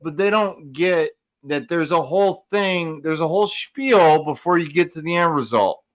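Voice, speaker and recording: A man's voice: fairly high pitch, animated, normal speed. A man co-hosting a podcast in casual conversation, emphatic and repeating phrases for stress. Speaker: podcast co-host.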